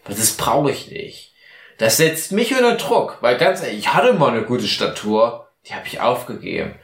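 A man's voice: mid-range voice, big pitch swings, normal speed, creaky, fairly bright tone. A tipsy man co-hosting a podcast, rambling in a mock-philosophical way during a casual chat. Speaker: podcast co-host. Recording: echoey room.

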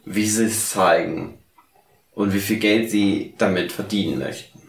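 Male co-host, low and unhurried, tipsy, deadpan, halting delivery for casual comedy podcast, roomy echo. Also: vocal fry. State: drunk. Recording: echoey, roomy sound.

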